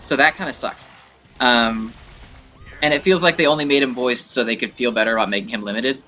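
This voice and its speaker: A man with a bright, forward voice talks through gameplay casually and informally. His delivery is animated and rambling, at an ordinary male pitch. Music plays faintly behind him. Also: fairly quick. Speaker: gameplay showcase host. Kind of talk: casual game commentary.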